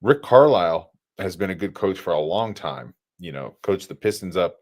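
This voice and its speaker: A man's voice: sits low, expressive, moderate pace, a little mellow. A man co-hosting a podcast, chatting casually and building his points step by step. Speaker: podcast co-host. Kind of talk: casual sports chat.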